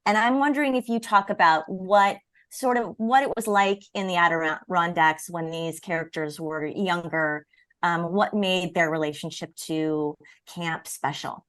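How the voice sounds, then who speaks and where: A woman's voice: fairly low pitch, steady tone, unhurried, a bit bright, no room sound. A woman co-hosting a conversational interview and putting questions to a guest. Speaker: interviewer, co-host.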